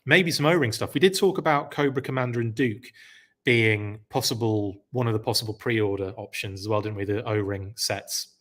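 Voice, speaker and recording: A man's voice: lowish voice, moderate pace, a bit bright. Rambling man with a scratchy throat. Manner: chatty, rambling, self-correcting. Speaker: podcast host. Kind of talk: live stream chat reading comments.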